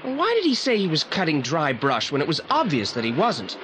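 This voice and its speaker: A man's voice: on the high side, wildly swooping pitch, brisk. A precocious boy detective in an old audio play. He speaks formally and pedantically with complete self-assurance, over a faint layer of noise. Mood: self-assured. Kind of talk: 1980s audio drama acting. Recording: slightly noisy old audio play transfer.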